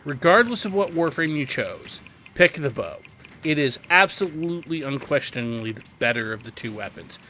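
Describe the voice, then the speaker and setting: Guy doing a game walkthrough, bright voice that moves around a lot, opinionated, some rainy game noise behind. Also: fairly high pitch, big pitch swings, moderate pace. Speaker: video game guide host. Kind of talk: casual game tutorial commentary.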